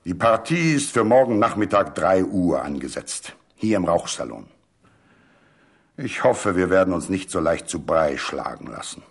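A man voicing a proud, irritable and excitable gentleman, gruff and emphatic, in a literary radio story. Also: deep voice, unhurried, heavily acted. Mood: grave. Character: proud irritable male amateur chess player, excitable.

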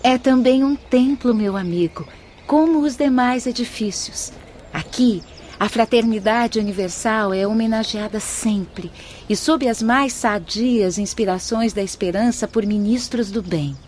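A woman's voice: fairly high pitch, a little slow, darkish tone, slight background noise, close to an everyday voice. A woman playing a helpful, devout spirit guide in a radio drama, formal and reverent. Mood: serene, welcoming.

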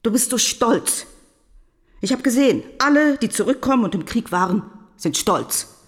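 An actress playing a middle-aged, worried mother of a returned soldier in a radio drama, plaintive and gently reproachful. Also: fairly high pitch, expressive, moderate pace, natural voice. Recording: faint music behind the voice. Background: faint music.

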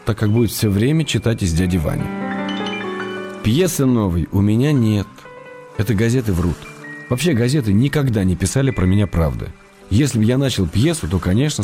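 An actor playing a fond, gently wry writer reading his letters aloud in an understated, dry radio drama manner. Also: lowish voice, close to an everyday voice. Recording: music behind the voice.

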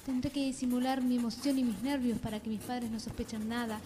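A woman voicing a teenage girl reading her diary in a radio drama, dreamy and hushed. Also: a little high, little pitch movement, brisk, a little hiss or hum, natural voice.

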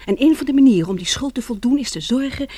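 A woman in a radio drama playing an earnest, loving sweetheart, breathy, emphatic and coaxing. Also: sits high, animated, fast, mellow, no echo. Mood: earnest, persuasive.

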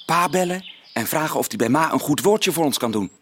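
A man's voice: a little high, animated, brisk, slight background noise, natural voice. An actor playing an earnest, cautious but persuasive boy in a Dutch radio play. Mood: matter-of-fact. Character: earnest, pleading boy Paul, cautious brother.

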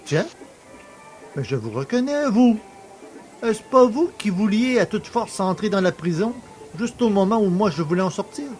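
An actor playing a jovial, boastful country poacher in a French audio drama, chummy, cheeky and colloquial. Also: male voice, high voice, animated, normal speed, a little mellow, faint music behind. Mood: boastful teasing. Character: jovial, boastful, familiar rural poacher just freed.

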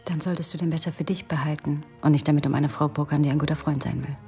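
Casting: female actor playing wry, flirtatious police-office woman for radio drama, deep voice, music behind. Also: fairly even intonation, fast talker, warm tone, natural voice. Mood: wry, frank. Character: self-possessed, teasing, frank woman at police headquarters. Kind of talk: German radio drama acting.

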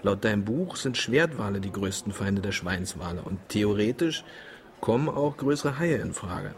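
A wry, good-natured boat skipper. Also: male voice, lowish voice, animated, moderate pace. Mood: subdued, matter-of-fact. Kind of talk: German radio drama acting.

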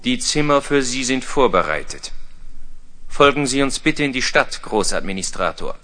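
An actor playing a stiff, insistent master of ceremonies with formal speech in a 1970s radio play. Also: male voice, mid-range voice, big pitch swings, normal speed, sharp tone. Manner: formal, officious.

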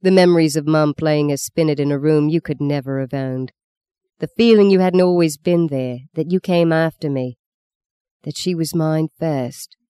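A man's voice: on the high side, moderate pace, mellow, clean tone, no echo, close to an everyday voice. A man voicing a wounded, scornful young man in an audiobook, speaking with bitterness. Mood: bitter mockery. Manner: mocking, embittered.

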